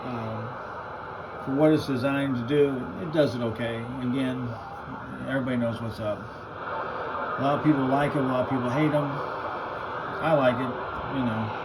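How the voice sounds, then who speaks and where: Very noisy recording, American man, mid pitch, colloquial, plain-spoken, unscripted hobbyist demo. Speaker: radio hobbyist presenting a gear demo. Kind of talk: casual unscripted product demo.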